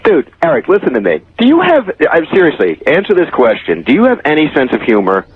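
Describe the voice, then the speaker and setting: An animated man with a husky voice banters on talk radio, badgering with disbelief and tripping over his words. The sound is a bit muffled. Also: a little high, fast. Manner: incredulous, stammering, badgering. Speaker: radio show regular. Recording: dull lo-fi radio recording.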